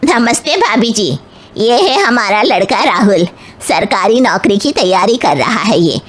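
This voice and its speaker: A woman voicing a conservative, demanding middle-aged mother of a groom, probing and deliberate, in a moral story. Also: on the high side, expressive.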